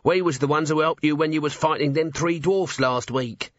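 A man voicing a sly, cowardly police corporal in an audiobook, wheedling in rough colloquial speech. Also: medium pitch, lively intonation, a little slow, fairly bright tone, dry recording, highs cut off. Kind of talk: character voice in audiobook narration.

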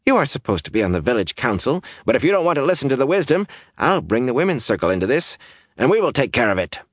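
A big, bossy village wise woman acted out by a male narrator in a fantasy audiobook. The pitch is lifted well above his own, and the delivery is scolding and forceful, with a swooping melody. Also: sing-song, quick pace, dry recording, studio quality. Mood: indignant, threatening.

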